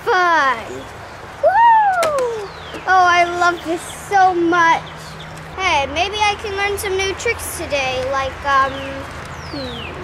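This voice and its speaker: A woman's voice: very high voice, background noise, exaggerated voice. A woman voicing a cheerful young man doll who rides a motorcycle, upbeat and whiny when hurt, in a toy play video.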